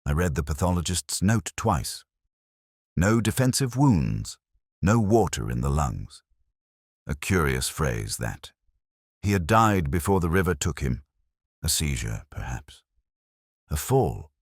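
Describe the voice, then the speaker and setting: Very deep-voiced male narrator. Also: husky, no echo, studio quality. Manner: measured, literary, reflective. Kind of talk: first-person scripted detective story narration.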